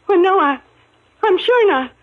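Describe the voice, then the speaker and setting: Nervous, halting mother, high voice. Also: animated, a little hiss or hum. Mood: nervous, evasive. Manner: hesitant, halting, faltering. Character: nervous, evasive mother hiding dread. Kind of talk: 1950s radio drama acting. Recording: dull, lo-fi vintage radio recording.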